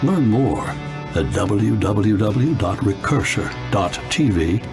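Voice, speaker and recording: Deep-voiced male trailer announcer. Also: lively intonation, slow. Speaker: promo announcer. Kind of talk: scripted trailer voice-over. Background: plucked guitar music.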